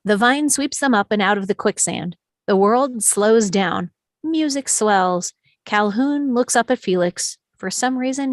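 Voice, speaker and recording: A woman's voice: medium pitch, no room sound. Dry-sounding woman narrating a script. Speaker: narrator reading stage directions. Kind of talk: scripted narration, live table read.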